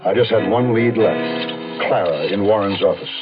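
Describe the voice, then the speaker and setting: An American actor playing a hunted, weary man on the run who tells his own story in a brooding, deliberate radio mystery style. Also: on the low side, lightly acted. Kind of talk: 1970s radio mystery drama, first-person noir narration. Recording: lo-fi phone-like 1970s radio, faint music.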